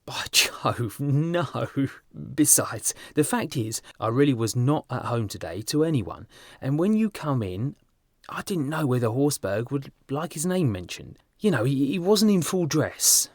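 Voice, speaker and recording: An amused gentleman painter performed by a male audiobook reader who teases with breezy confidence. The pitch rides high and swoops around, with emphatic, flamboyant phrasing in a very dry recording. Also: very animated, unhurried, dry recording. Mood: laughing, teasing. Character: amused, worldly, teasing young gentleman painter. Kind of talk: audiobook character dialogue.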